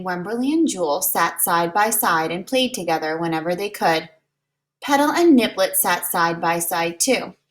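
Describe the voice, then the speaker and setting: A woman reading a children's picture book aloud in an expressive, deliberate way, like a preschool teacher. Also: mid-range voice. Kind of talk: children's storybook read-aloud.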